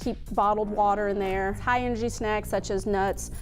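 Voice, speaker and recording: A woman's voice: mid-range voice, fairly even intonation, normal speed, soft background music, poor audio. A woman giving practical advice in an informal way, listing items with filler words. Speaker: interviewee giving safety tips.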